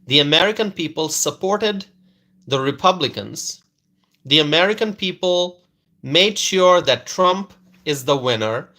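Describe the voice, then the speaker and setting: Forceful man livestreaming politics. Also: fairly high pitch, animated, a little slow. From Bangladesh. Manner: repetitive, keeps adding "okay?" tag questions; hesitant fillers and restarts, greets viewers by name. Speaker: live stream host. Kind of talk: political commentary live stream.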